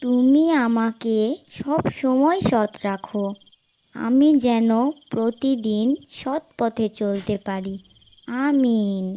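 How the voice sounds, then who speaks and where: A very high, slow-paced little girl played by a woman speaks in a children's moral cartoon. She is gentle, devout and honest, placing each phrase with polite, earnest care. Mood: prayerful. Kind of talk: Islamic moral cartoon voice acting.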